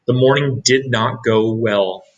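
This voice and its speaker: A man reading a picture book aloud as a teacher, pausing for asides to young listeners. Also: medium pitch, dull audio. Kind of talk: read-aloud storytelling.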